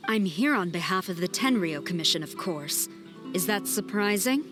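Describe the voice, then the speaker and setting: A woman's voice: on the low side, animated, normal speed, over loud music, close to an everyday voice. A woman playing a stern, dutiful military general in a video game, formal, commanding and no-nonsense. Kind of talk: video game cutscene acting.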